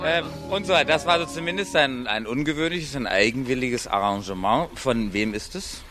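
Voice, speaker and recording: A man's voice: medium pitch, brisk, loud music behind the voice. A man reporting live on the radio, chatty and teasing, in an old broadcast recording.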